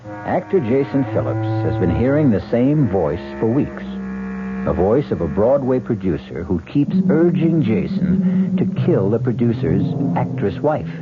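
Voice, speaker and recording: A male radio drama host who narrates the setup in a deliberate, ominous tone. His lowish voice rises and falls dramatically. Music plays clearly under a dull, humming broadcast sound. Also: sing-song.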